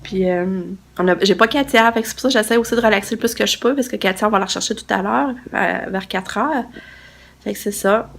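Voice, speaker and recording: A woman's voice: medium pitch, quick pace, gravelly, fairly bright tone. A young woman with a Québécois French accent, vlogging casually to a handheld phone. She is tired and stuffed up with a cold, and she rambles with many fillers and restarts. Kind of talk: casual vlog chat to camera. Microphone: handheld phone camera.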